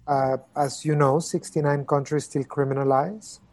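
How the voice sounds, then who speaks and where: A man from Costa Rica explains his views in a remote interview, heard through a video call connection. He speaks thoughtfully but haltingly, filling pauses with ums and repeating words as he works toward each point. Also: fairly high pitch, little pitch movement, darkish tone. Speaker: interviewed human rights expert.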